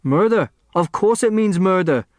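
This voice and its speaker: A man's voice: sits high, animated, moderate pace, dry recording, studio quality, pitched above the performer's own voice. A man voicing a blunt, agitated male heir in an audiobook, speaking emphatically and accusingly. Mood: heated, accusing. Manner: emphatic, heated.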